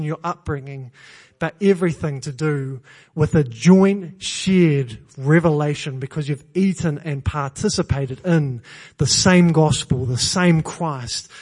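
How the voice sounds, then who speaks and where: High-voiced New Zealand man preaching. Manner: earnest, self-deprecating humor, emphatic repetition. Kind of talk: sermon. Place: church.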